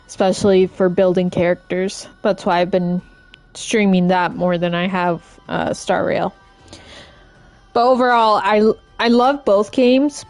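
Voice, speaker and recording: A woman game streamer chatting casually and informally as she plays, in a halting, rambling way. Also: fairly low pitch, a little mellow. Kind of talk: casual live-stream chat while playing.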